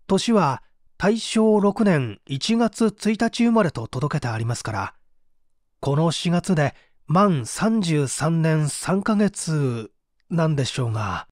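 A man's voice: on the high side, expressive, slow pace, dry recording, clean studio sound. An actor playing a bitter, defensive painter in his thirties under interrogation, aggrieved and self-justifying, in a radio drama. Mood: hesitant. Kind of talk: dramatized mystery reading.

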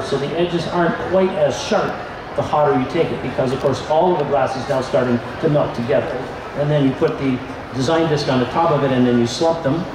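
An older man giving a relaxed live glass-craft demonstration, pausing with fillers as he handles pieces. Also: fairly high pitch, background noise. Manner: relaxed explaining, many fillers, handling pieces while talking. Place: large convention hall room. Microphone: far camera. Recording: echoey hall, voice from far off.

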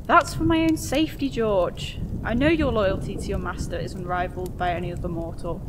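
A woman playing a poised, alluring witch countess with smooth, coaxing delivery in a fantasy audio drama. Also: medium pitch, brisk, noisy background, close to an everyday voice.

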